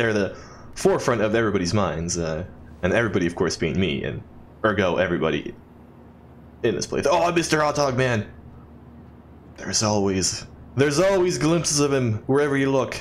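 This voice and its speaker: A man's voice: on the low side, animated, fairly quick, a little hiss or hum. A young man giving casual video game commentary as a let's play host, rambling with wry asides.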